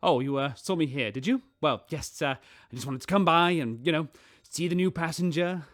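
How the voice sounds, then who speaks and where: A flustered, evasive starship captain, played by a man, who stammers through every answer. His voice sits fairly high and is recorded dry and close. He sounds like a sci-fi radio play figure. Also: normal speed, a bit bright, dry recording, natural voice. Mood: awkward. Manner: stammering, nervous, full of "uh". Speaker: ship captain.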